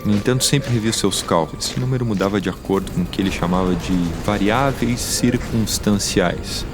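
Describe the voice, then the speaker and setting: A man performs a scientist with a mechanical arm in an audio drama. His voice sits fairly low, and he recounts a wartime past in formal, deliberate and wordy phrasing. Prominent music plays under him. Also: natural voice. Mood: reflective, explanatory.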